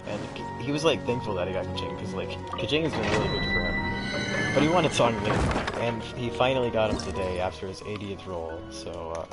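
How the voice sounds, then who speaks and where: Deep-voiced young man rambling over gameplay. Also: expressive. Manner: rambling, halting, talking to himself. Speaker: gaming video creator. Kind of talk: casual gameplay commentary. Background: loud game music.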